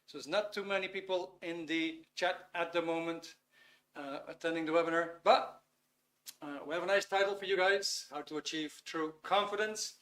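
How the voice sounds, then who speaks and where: A Dutch-accented man in his fifties hosts a live online talk in English. His voice is bright and sits a little high, and he speaks unhurriedly, pausing with fillers as he searches for words. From Netherlands. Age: 50s. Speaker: webinar host.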